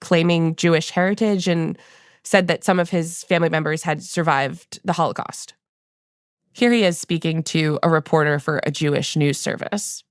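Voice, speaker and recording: A woman's voice: sits low, moderate pace, smooth, no echo, studio quality. An American woman from Long Island, a podcast producer, telling a reported story in a conversational narrative style. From Long Island, New York, United States.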